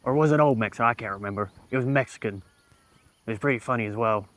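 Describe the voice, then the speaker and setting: A man's voice: mid-range voice, fast, close to an everyday voice. A man playing a rambling Old West outlaw. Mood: offhand. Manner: rambling, deadpan storytelling. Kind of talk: roleplay in a western game.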